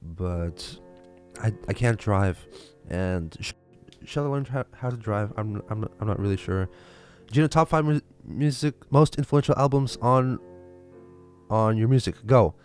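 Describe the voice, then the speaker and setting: A man's voice: fairly low pitch, expressive, unhurried, darkish tone, soft background music. A young man from Lithuania with a Lithuanian accent, chatting casually on a livestream and answering viewer questions, with many fillers and restarts. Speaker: livestream host.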